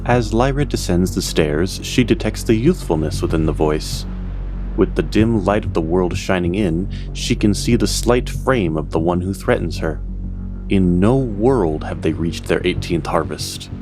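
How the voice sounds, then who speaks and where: A man narrating a scripted fantasy story in a measured, literary way. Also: low voice, expressive, over music.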